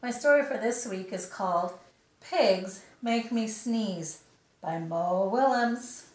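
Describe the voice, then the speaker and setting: A woman's voice: medium pitch, takes it slowly. A woman storyteller reading a picture book aloud to young children. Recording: echoey room.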